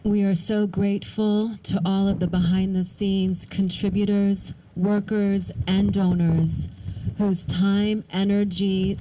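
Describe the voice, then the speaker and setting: A woman's voice: medium pitch, background noise. A woman officiating a ceremony, delivering a formal, scripted speech.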